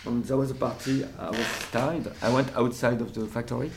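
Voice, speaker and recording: French-accented male guest, gravelly, halting, faint noise behind. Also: medium pitch, fairly even intonation. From France. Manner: halting, searching for words, frequent restarts and fillers. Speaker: performer and interview guest. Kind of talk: casual interview.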